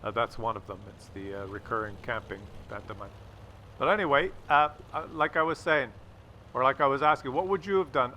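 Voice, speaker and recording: A man with a bright, forward voice pitched a little high talks through video gameplay. He rambles conversationally with a mildly sardonic edge, over faint background noise. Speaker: gameplay commentator. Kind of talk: casual video game commentary.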